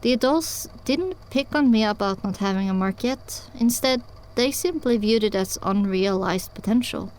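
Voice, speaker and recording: A woman's voice: mid-range voice, normal speed, darkish tone, poor audio. A woman reading scripted first-person story narration as the narrator of an audio drama.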